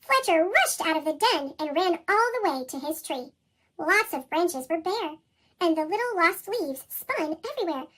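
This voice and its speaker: A woman reading a children's storybook with expressive delivery, her voice shifted up by a chipmunk effect. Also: moderate pace. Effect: pitched-up chipmunk voice effect. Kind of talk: scripted read-aloud storybook narration.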